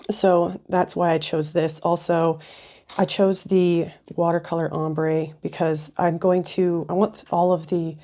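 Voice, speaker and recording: A woman hosting a casual craft tutorial, chatty with frequent ums, her voice slightly raspy and sniffly from a cold. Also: sits low, warm tone. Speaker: craft video host.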